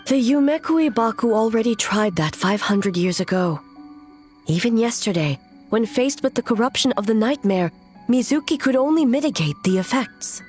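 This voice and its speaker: A woman playing a solemn, resolute warrior ruler in a video game, speaking in a measured, formal, grave way. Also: on the high side, animated, darkish tone, faint music behind, natural voice. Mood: firm, resolute. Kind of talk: video game cutscene acting.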